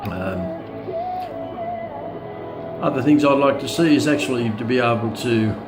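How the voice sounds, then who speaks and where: A man giving a casual, unscripted product review as a hobbyist, hesitant and often pausing mid-sentence, with radio audio playing behind him. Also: medium pitch, lively intonation, reverberant, music in the background.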